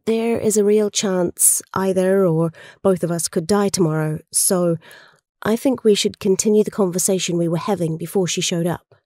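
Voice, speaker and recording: A woman's voice: on the low side, normal speed, mellow, no echo, studio quality, natural voice. A woman playing a lovelorn, outspoken young heroine in an amateur audio drama, speaking in an earnest, pleading way. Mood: nervous, tender. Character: Kat, lovelorn, outspoken young female hero.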